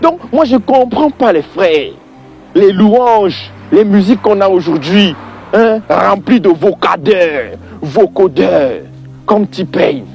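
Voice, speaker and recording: A man's voice: sits high, expressive, takes it slowly, creaky, darkish tone, muffled recording, faint music behind. A man giving an informal religious opinion monologue, exasperated and emphatic, repeating points and addressing the listener directly.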